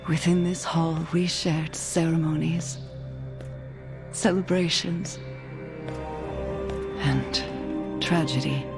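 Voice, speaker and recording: An actress playing a solemn, humble undead noblewoman in a video game cutscene, measured and earnest. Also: sits low, steady tone. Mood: sorrowful, earnest. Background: music.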